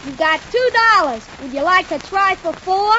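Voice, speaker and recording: A woman's voice: very high-pitched, expressive, moderate pace. A woman playing a cheeky, worldly-wise little boy in a 1940s radio comedy. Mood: worldly, wisecracking. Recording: dull, hissy 1940s radio recording.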